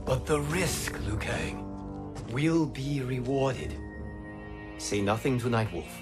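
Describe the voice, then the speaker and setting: An earnest young monk. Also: male voice, medium pitch. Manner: calm, earnest, measured. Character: earnest young male monk turned keeper of time. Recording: game soundtrack with music.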